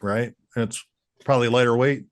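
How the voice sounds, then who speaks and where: A male interviewer with an average-pitched voice keeps the talk casual. He sounds enthusiastic and encouraging as he asks his questions. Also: fairly even intonation, brisk. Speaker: interviewer host.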